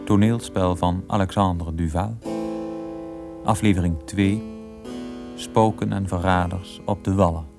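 A man's voice: deep voice, steady tone, a little slow, gravelly, long pauses. A man giving scripted narration as the storyteller of a radio drama serial. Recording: guitar music behind.

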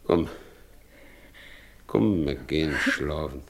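An actor playing a brooding, weary working-class husband in a radio drama. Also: male voice, a bass voice, animated, vocal fry, heavily acted. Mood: weary, resigned. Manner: slow, heavy, rustic. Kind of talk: naturalistic German radio drama acting.

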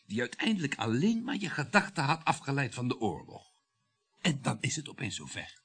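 An actor playing a bitter, sardonic French bourgeois husband in a radio play, declaiming with the odd halting hesitation. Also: male voice, medium pitch, huge pitch swings, a bit bright, breathy edge, full character voice. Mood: bitter, accusing.

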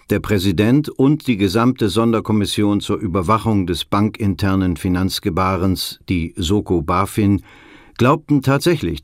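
An actor playing a sober documentary narrator, explaining in scripted, matter-of-fact sentences. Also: male voice, lowish voice, dry recording, clean studio sound, lightly acted. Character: sober male documentary narrator of a financial crisis.

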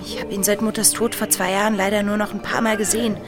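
A woman's voice: mid-range voice, level tone, quick pace, music in the background, lightly acted. An actress playing a headstrong, curious young woman disguised as a boy in a German radio play. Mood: fond, puzzled. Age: young adult.

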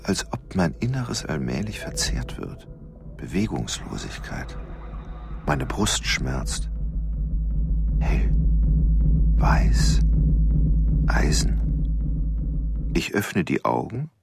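A brooding, sickly killer speaks his thoughts in clipped fragments in a radio play. The voice is very deep, full of creak and husk, and it moves slowly. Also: full character voice. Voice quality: gravelly, husky. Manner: halting, brooding, clipped phrases. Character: sickly, haunted male killer in feverish inner monologue.

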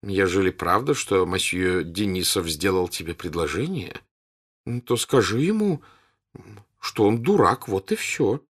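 A man's voice: deep voice, expressive, measured pace, fairly bright tone, breathy edge, exaggerated voice. A man playing a wry, scoffing countess. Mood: mocking. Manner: dry, scoffing. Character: wry middle-aged countess, mocking and impatient.

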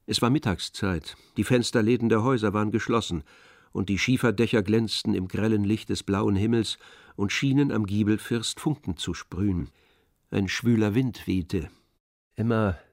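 A man's voice: fairly low pitch, darkish tone, no echo, studio quality. A man reading scripted literary narration for an audio drama.

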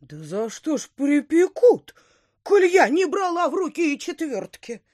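An actress playing a feisty, indignant peasant housemaid who answers back sharply in an audio drama. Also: female voice, high voice, sing-song, dry recording, studio quality. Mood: defiant protesting. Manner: sharp-tongued, protesting, quick to answer back.

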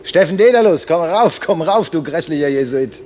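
An actor playing a stout, boisterous young medical student in a theatrical, teasing 1960s German radio drama style. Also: male voice, on the high side, expressive, moderate pace, a little mellow, slight background noise, lightly acted. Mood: mocking, calling. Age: young adult.

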